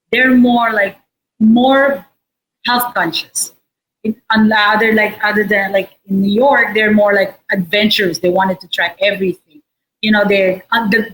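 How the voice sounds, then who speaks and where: A woman chef with a Filipino accent giving a casual cooking demonstration in an informal, halting, explanatory way. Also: medium pitch, a bit bright, poor audio. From Philippines. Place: indoor restaurant space behind a counter. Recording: noticeable background noise and room ambience.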